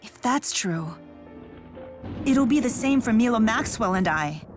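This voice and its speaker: A woman's voice: on the high side, expressive, measured pace. An actress playing a serious, thoughtful young woman adventurer in a video game. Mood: concerned, pondering. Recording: studio voice with faint music.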